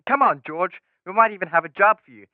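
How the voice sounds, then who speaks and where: A man's voice: sits high, expressive, quick pace. Teenage boy as brash businessman on tinny phone. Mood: eager, pushy. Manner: pushy, emphatic. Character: brash, persuasive young businessman on the telephone. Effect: telephone filter. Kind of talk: radio drama acting. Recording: tinny dry phone-line sound.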